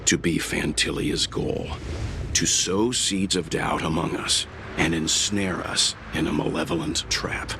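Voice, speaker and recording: An actor playing a thoughtful, sombre mentor in a video game, speaking in a measured, analytical way. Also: male voice, a bass voice, steady tone, vocal fry, background noise, full character voice. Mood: grave. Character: grave, thoughtful older male traveller and mentor. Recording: music behind the voice.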